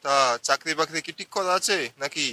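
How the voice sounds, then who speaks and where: A man's voice: fairly high pitch, fairly quick, bright, forceful tone. A man voicing a hospitable, cautioning older uncle in a cartoon, with a fatherly manner. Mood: fatherly, cautious.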